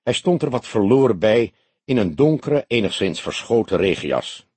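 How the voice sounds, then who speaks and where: A man narrating a detective novel as an audiobook, in deliberate, expressive storytelling. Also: fairly low pitch, breathy edge, dry recording.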